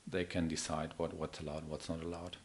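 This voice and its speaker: Low-pitched man giving a product demo. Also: flat delivery, a little fast. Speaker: product demonstrator, account manager. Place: indoor office or showroom.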